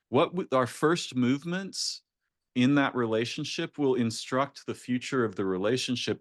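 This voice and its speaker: A man's voice: mid-range voice, dry recording, clean studio sound. A man hosting a conversational interview, speaking reflectively and often checking in with "right?". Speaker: podcast host.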